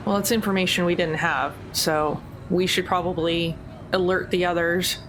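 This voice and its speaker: Female player, lower pitch, chatty, plans aloud, noisy recording. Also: steady tone, normal speed. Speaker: tabletop game player. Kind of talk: casual play talk. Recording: noisy background hiss.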